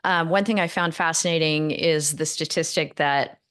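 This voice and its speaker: A woman's voice: low voice, steady tone, normal speed, fairly bright tone, smooth. Rambling middle-aged woman host. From Northern California. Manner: rambling, many fillers and restarts. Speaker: podcast host. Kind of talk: interview conversation.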